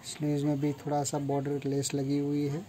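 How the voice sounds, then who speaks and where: Flat-voiced young man showing garments. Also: on the high side, fairly quick, slight background noise. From India. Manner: flat, matter-of-fact listing of features. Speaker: kurti factory seller. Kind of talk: product showcase walkthrough. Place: clothing store with fabric shelves.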